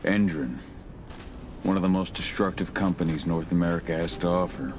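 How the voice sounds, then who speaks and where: A man playing a gruff, brooding, protective werewolf fighter in a video game, blunt in the way he talks. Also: deep voice, normal speed, darkish tone, slight background noise, lightly acted. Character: brooding, combative werewolf husband, wary and protective. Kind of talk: dramatic video game acting.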